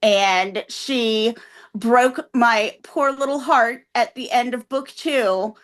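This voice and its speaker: A woman's voice: on the high side, slow, fairly bright tone, low-quality recording. A woman guest co-host in a casual podcast chat, gushing as an enthusiastic fan.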